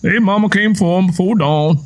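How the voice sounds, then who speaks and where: A man voicing a gruff old tenant farmer with a strained, choked voice and blunt, folksy talk. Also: high-pitched, brisk, voice pushed up, lightly acted. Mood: gruff, matter-of-fact. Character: gruff old backwoods Southern father-in-law, hard-drinking tenant farmer.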